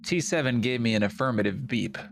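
A man narrating his part of an audio drama in the first person, brisk and matter-of-fact. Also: mid-range voice, vocal fry, faint music behind.

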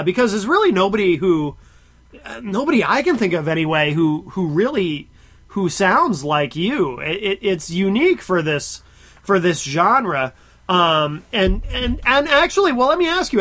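A man's voice: high voice, a bit bright. A man hosting a radio show, interviewing a guest in a casual, chatty style.

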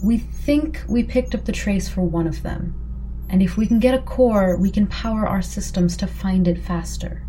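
Woman playing a mellow, idealistic rebel. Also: mid-range voice, moderate pace, close to an everyday voice. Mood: earnest, determined. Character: earnest, idealistic rebel crew member, gentle and considerate. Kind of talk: cyberpunk audio drama acting. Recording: faint background ambience noise.

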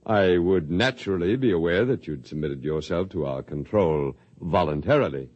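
An actor in a 1950s radio drama playing a smug, condescending bearded scientist with formal, smooth diction. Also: male voice, low-pitched, expressive, normal speed, a little mellow, no room sound, muffled recording. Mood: fervent. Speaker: head of the archive project.

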